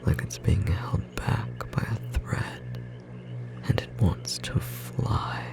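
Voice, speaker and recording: A performer voicing a tender magical bookstore clerk in an ASMR roleplay, whispering softly and gently encouraging a beloved partner, with a slightly rough edge. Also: very deep voice, very animated, takes it slowly, over loud music, exaggerated voice. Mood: gentle, guiding. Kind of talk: ASMR roleplay, intimate whispered second-person acting.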